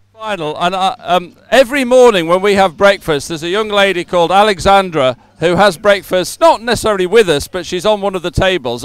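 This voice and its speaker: High, clear-voiced man reporting live. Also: no echo. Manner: chatty, informal. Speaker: roving reporter and interviewer. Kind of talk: live sports interview and results announcing. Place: outdoors beside a race course.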